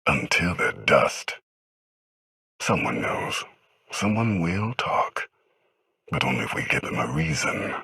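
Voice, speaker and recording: Cavernous, gravelly tyrant emperor. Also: male voice, sing-song, pushed and bright, clean studio sound, exaggerated voice. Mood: cold menace. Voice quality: very deep, gravelly, harsh rasp. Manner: slow, commanding, deliberate. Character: cruel, brooding tyrant emperor, cold and menacing. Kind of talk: video game cutscene acting.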